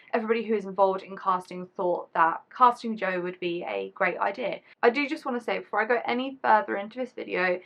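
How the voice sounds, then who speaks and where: A young woman in her twenties, chatting casually to camera as a YouTuber, her voice deeper than usual after being ill. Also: a bit bright. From England. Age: 20s. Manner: chatty, rambling, casual slang.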